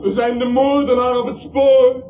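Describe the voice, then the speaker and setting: Officious master's assistant, extremely high pitched, pompous announcing delivery, muffled radio drama recording. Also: male voice, little pitch movement, moderate pace, over music. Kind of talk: Dutch radio play acting.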